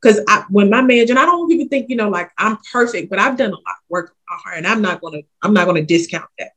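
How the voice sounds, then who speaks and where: A woman in her forties, a ministry leader talking candidly in an interview, warm-hearted and lively, calling people honey. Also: mid-range voice, racing, poor audio. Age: 40s. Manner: lively, talks straight from the heart, calls listener honey. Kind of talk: casual interview chat.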